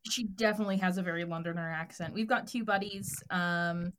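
A woman hosting a podcast in casual chat, teasing the guests and asking quick questions. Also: lowish voice, steady tone, a little hiss or hum.

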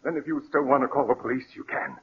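An actor playing a scheming, falsely solicitous murderer in a 1940s radio mystery, with theatrical, hesitant politeness and a coaxing undertone. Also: male voice, mid-range voice, fairly even intonation, fast, breathy edge. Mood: urgent, coaxing. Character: smooth, scheming male murderer, nervy yet falsely solicitous. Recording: muffled, lo-fi 1940s radio recording.

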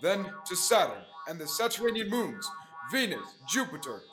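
A man's voice: high voice, sing-song, moderate pace, over music. A young man playing an eager, adventurous space hero in old-time radio serial style, earnest and full of gee-whiz enthusiasm. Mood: earnest, proud. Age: young adult. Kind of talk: 1930s radio serial acting.

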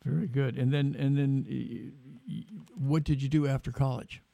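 Host: gravelly, warm-toned man, halting delivery, dry close phone sound. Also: medium pitch, fairly even intonation, dry recording. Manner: halting, hesitant, many fillers. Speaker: interviewer. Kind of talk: casual interview.

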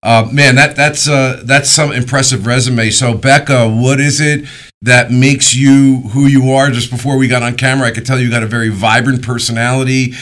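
A man's voice: medium pitch, fairly even intonation, normal speed, a bit bright. A middle-aged American man hosting a casual radio and podcast interview, joking and emphatic, often repeating words for stress. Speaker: radio show and podcast host.

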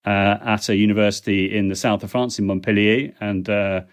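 A man's voice: fairly low pitch, level tone, brisk, clean tone. A middle-aged man, a podcast guest, talking chattily with frequent ums and small restarts as he answers questions. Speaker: guest, language technology consultant. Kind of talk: relaxed interview answers.

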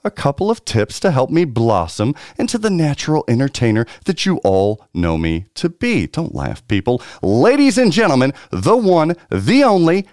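A man's voice: mid-range voice, very animated, normal speed, few pauses, darkish tone, no echo, studio quality. A man hosting a pinball podcast, announcing in a showy, hype style before settling into casual chat. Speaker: podcast host.

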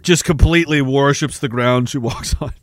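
A man's voice: medium pitch, moderate pace, no room sound. A man hosting a livestream, reading chat comments and riffing on them sarcastically, often breaking into laughter. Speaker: livestream host.

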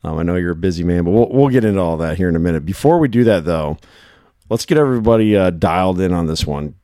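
A man hosting a casual podcast, his voice hoarse and worn, chatting and telling stories in quick bursts. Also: sits low, animated, mellow, dry recording, clean studio sound. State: hoarse from shouting. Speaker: podcast host.

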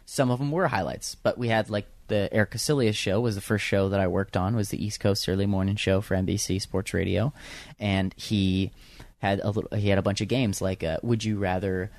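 A man's voice: lowish voice, steady tone, brisk, darkish tone, dry recording, studio quality. A young man with a young-sounding voice, chatting casually as an interview guest about radio production work, full of fillers and restarts. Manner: rambling with many fillers and restarts.

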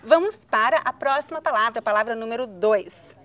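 Brazilian woman host, high pitch, patient and clear with learners. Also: pushed and bright, soft background music. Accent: Brazilian Portuguese. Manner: slow, clearly spelled-out words for learners. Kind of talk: casual language lesson chat.

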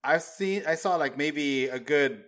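A man's voice: a little high, unhurried, a bit bright. Earnest man explaining on a podcast. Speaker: podcast co-host. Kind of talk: casual chat.

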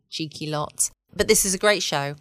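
A woman's voice: lowish voice, measured pace, no room sound, studio quality. A woman with an English accent hosting a late-night bedtime podcast in a cosy, chatty way with playful asides. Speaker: podcast host. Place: at home, close and dry-sounding room.

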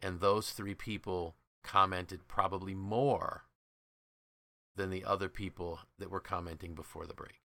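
A man's voice: low-pitched, fairly even intonation, a little slow, vocal fry, sharp tone, no echo. A man hosting a podcast, telling stories conversationally in an enthusiastic, emphatic way and acting out quoted dialogue. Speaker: podcast host and leadership trainer.

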